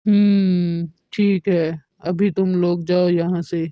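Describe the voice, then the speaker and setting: A woman's voice: on the low side, flat delivery, takes it slowly, clean tone, dry recording, lo-fi sound, pitched below the performer's own voice. A woman voicing an obsessed, possessive male kidnapper in a cartoon story, speaking with control. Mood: commanding.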